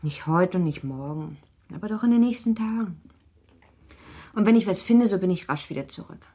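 Husky, lower-voiced young wife. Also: female voice, expressive, moderate pace, warm tone. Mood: coaxing, secretive. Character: young, pampered wife, affectionate, anxious yet willful. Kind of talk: German radio play acting.

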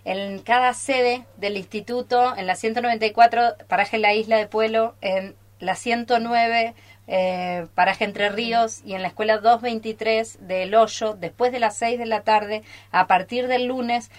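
A woman's voice: a little high, fairly even intonation, measured pace. A woman with an Argentine accent talks casually and enthusiastically on live radio as a theatre teacher visiting the studio. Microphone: studio microphone.

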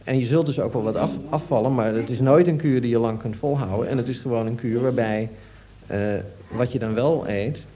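A man's voice: mid-range voice, fairly quick, few pauses, dark tone. A man giving informal, explanatory advice in a radio discussion, hesitantly searching for his words. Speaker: nutrition expert answering audience questions.

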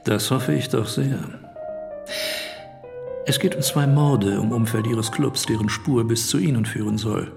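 An actor playing a coolly threatening banker in a radio drama, measured and insinuating. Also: male voice, mid-range voice, creaky, music in the background.

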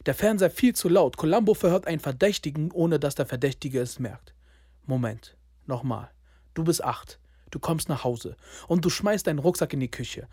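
A man's voice: a little high, expressive, normal speed, darkish tone, breathy edge, no echo, studio quality. A young man narrating a radio play in the second person, terse and sardonic, often breaking off to restart the scene.

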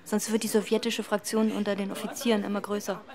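Actress as cool female scientist, mid-pitched, curt, guarded, assertive, radio drama. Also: level tone, quick pace, a crowd in the background, lightly acted. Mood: cool, knowing.

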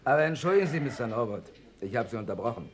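Over faint music, a man's medium-pitched voice swings widely. It is an actor as a genial police sergeant in a radio crime drama, teasing and jovial, savouring the suspense. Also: lively intonation, very fast, lightly acted. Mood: friendly.

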